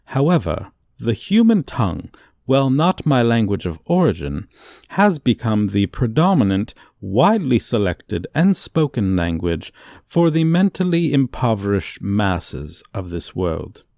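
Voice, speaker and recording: A man's voice: lowish voice, sing-song, unhurried, gravelly, dark tone, no echo, studio quality, exaggerated voice. A man voicing a haughty dragon professor in an ASMR roleplay, condescending to a human student in pompous, archaic, formal diction. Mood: lofty, disdainful.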